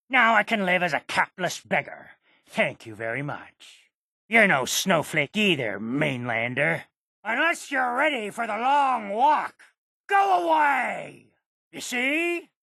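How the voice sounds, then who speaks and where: A cantankerous old man from a video game, stubborn and sarcastic. His voice is gravelly and hoarse-edged, and it swings widely in pitch as he bristles with indignation. He takes his time over his words. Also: bright, forceful tone, dry recording, studio quality, exaggerated voice. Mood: scornful, sarcastic. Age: elderly. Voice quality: gravelly, raspy. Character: stubborn, cantankerous old hermit uncle guarding his homestead. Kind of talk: video game character dialogue.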